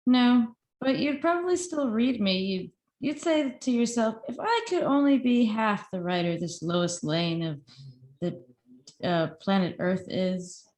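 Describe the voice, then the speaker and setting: A woman's voice: mid-range voice, animated, a little slow, darkish tone, natural voice. Woman as teasing, self-assured reporter, wry and affectionate, echoey roomy sound, table read. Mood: playful. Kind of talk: amateur script table read.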